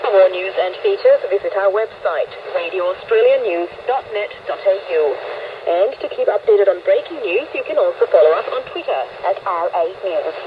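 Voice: medium pitch, expressive, normal speed. A radio newsreader delivering a scripted news bulletin. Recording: noisy shortwave radio, narrow phone-like band.

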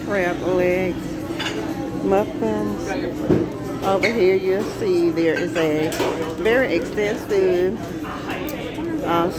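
A woman giving a casual food tour as a vlogger, listing items in an unhurried, enthusiastic way on a handheld phone. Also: medium pitch. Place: large indoor buffet restaurant. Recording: loud busy restaurant crowd noise. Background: loud crowd and dining room clatter.

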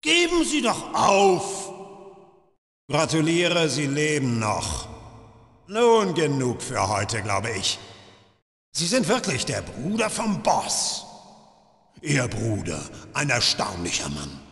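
An actor playing a sadistic, smug older gunslinger interrogator in a video game dub, taunting with theatrical, deliberate menace. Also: male voice, medium pitch, wildly swooping pitch, a bit bright, full character voice. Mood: gloating, cruel. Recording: echoey, reverberant game audio.

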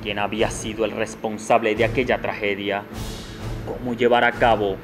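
A man's voice: on the low side, flat delivery, normal speed. A man giving dramatic scripted narration for a radio soap opera. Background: prominent music.

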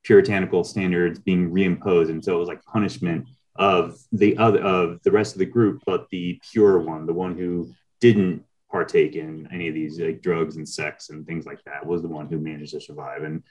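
A middle-aged man with a low voice talks as a guest on a video call. He explains his ideas conversationally and thoughtfully, in a measured manner. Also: little pitch movement, a little mellow, poor audio. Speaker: writer, interview guest.

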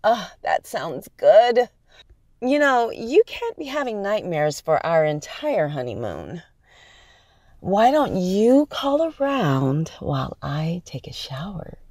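A woman's voice: fairly low pitch, big pitch swings, takes it slowly, darkish tone, no room sound, clean studio sound, exaggerated voice. A woman playing a soothing, playful bride in a horror radio drama, coaxing and teasing. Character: soothing, playful bride humoring her nervous husband.

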